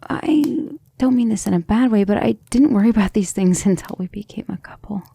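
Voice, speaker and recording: A gentle, earnest vampire lover, played by a woman in an intimate ASMR roleplay. Her voice is mellow and breathy with some creak, and she speaks tenderly and hesitantly, as if confiding in someone dear. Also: mid-range voice, a little fast, no room sound. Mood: troubled, doubting. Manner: soft, hesitant, tender, often breathy near-whisper. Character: gentle, earnest, self-doubting female vampire, devoted lover.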